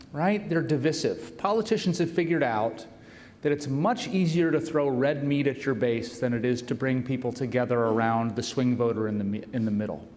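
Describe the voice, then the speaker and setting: A man who is a university president, giving an earnest, conversational welcome speech and introducing the speaker. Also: medium pitch. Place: large hall. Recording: roomy hall reverb, faint noise.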